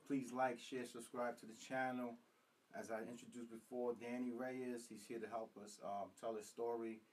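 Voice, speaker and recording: A man hosting a talk show, originally from Puerto Rico, chatting casually with many ums and pauses. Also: mid-range voice. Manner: relaxed, hesitant with frequent ums. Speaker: podcast host. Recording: distant mic, faint room noise.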